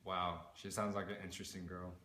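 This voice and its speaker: A man's voice: deep voice, level tone, brisk, pushed and bright, a little hiss or hum. A man reading a scripted mock news report as the anchor, with small stumbles in his reading. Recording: roomy echo, music underneath.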